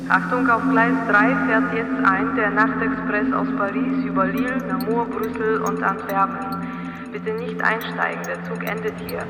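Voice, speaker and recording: An actor playing an impersonal railway station announcer over a loudspeaker in a radio drama. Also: male voice, high voice, little pitch movement, normal speed, lightly acted. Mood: flat, official. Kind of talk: public address announcement. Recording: distant echoing station loudspeaker, music behind.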